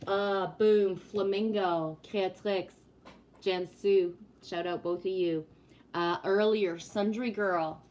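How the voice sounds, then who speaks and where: A woman's voice: medium pitch, steady tone, takes it slowly, darkish tone. A woman in her fifties hosting a livestream, chatting casually and greeting viewers while talking about spiritual topics. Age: 50s. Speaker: livestream host.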